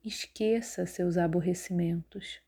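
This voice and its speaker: Slow, mellow woman guiding relaxation. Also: fairly low pitch, husky. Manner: calm, measured, instructive. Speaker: yoga nidra instructor. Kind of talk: guided relaxation meditation narration.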